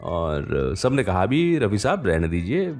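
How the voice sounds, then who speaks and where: Male radio host, lower register, conversational storyteller with unhurried pauses, faint music underneath. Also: sing-song, darkish tone.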